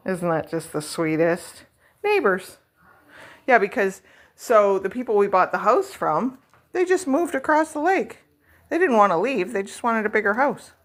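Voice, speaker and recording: A woman's voice: medium pitch, lively intonation, moderate pace. Chatty middle-aged woman vlogging at home. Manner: chatty, rambling, self-deprecating. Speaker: vlog host. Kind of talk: casual chat while decorating.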